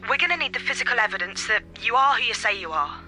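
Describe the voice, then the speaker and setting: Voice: medium pitch, big pitch swings, quick pace, sharp tone. An actor playing a sceptical, officious police officer on the telephone in a radio drama, stumbling slightly over words. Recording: thin telephone line.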